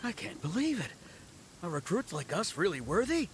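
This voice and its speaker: A man's voice: high-pitched, very animated, moderate pace. A man voicing an awed, humble young army recruit in a video game, with music behind him. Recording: music playing under the voice.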